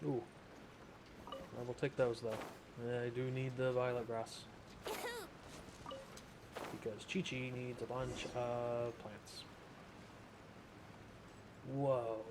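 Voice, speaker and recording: A man's voice: medium pitch, sing-song, drawn-out words, long pauses, background noise. A man doing casual, rambling game commentary as a streamer, often self-deprecating. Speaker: gaming streamer. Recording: game music behind the voice.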